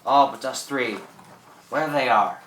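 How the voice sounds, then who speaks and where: Radio drama: male actor as proud, fierce French pirate, theatrical broken English, forceful delivery, recorded from a distance. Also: mid-range voice, measured pace, reverberant, a little hiss or hum, close to an everyday voice. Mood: startled, puzzled. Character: fierce, proud French pirate thawed from fifty years frozen. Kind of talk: amateur radio drama acting.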